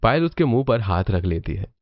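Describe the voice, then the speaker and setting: A man's voice: lowish voice, very animated, fairly quick. A man narrating a scripted cartoon story, on a muffled recording with music behind him.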